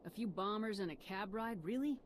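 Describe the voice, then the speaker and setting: An actress playing a tough, sardonic commander in a video game, speaking bluntly and dryly. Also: female voice, medium pitch, lively intonation, a little fast, slight background noise, lightly acted. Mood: blunt, challenging. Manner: blunt, dry, challenging. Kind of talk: dramatic video game voice acting.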